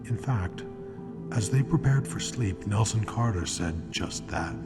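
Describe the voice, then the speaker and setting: An ominous true-crime storyteller from old-time radio. His voice is low, dry and knowing, set over loud music. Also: animated, measured pace.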